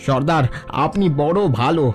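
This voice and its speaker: A humble bandit henchman from a cartoon story, played by a man. His voice is on the high side for a man, and he talks earnestly and respectfully. Light music plays underneath. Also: moderate pace, close to an everyday voice. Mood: concerned. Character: loyal male bandit henchman, humble and reforming. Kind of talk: Bengali cartoon story dialogue.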